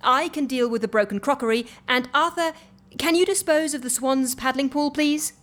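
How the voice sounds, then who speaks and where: An actress playing a brisk, droll, capable woman who gently bosses an eccentric office in an audio comedy. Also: high-pitched. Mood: gently bossy. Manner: droll, organised, gently bossy. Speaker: actor in a comedy fiction podcast. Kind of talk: audio comedy drama acting.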